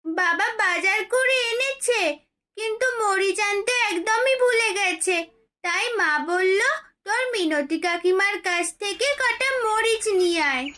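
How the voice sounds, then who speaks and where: Cartoon daughter role, woman performer: tiny, very high-pitched girl, sharp and bright, earnest and affectionate. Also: measured pace, heavily acted. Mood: polite, pleading. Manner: pleading, childlike, eager. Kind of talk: cartoon fairy tale dialogue.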